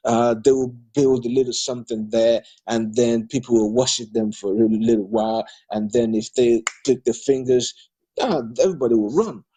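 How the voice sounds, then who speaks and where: Husky, hesitant Nigerian man. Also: medium pitch, warm tone. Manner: hesitant, many fillers and restarts; grows emphatic and insistent when criticising politicians. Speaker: political party spokesman. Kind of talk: audio discussion replying to a participant.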